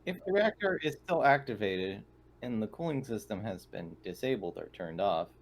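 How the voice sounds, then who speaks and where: Lowish man with faint noise behind. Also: lively intonation, moderate pace. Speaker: tabletop game player. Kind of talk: casual in-game discussion.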